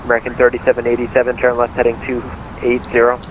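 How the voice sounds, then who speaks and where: A man is directing aircraft as a controller over a narrow, muffled radio channel. His phrasing is clipped and procedural, delivered quickly, with a steady drone of noise behind it. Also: mid-range voice. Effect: radio transmission. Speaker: air traffic controller. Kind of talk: radio air traffic instructions.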